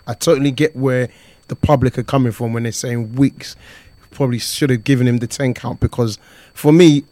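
A man's voice: mid-range voice, steady tone, moderate pace, mellow, no echo. A man hosting a casual boxing call-in show, chatty and easygoing, greeting callers. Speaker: host. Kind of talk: casual radio-style chat with callers.

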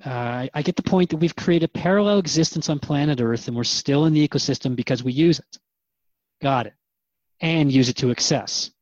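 An earnest human seeker played by a man in a radio drama, with a slightly high male voice. He questions in a conversational, sometimes halting way. The recording is dry and a touch dull. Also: no echo, lightly acted. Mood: earnest, reflective. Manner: hesitant, inquisitive, earnest.

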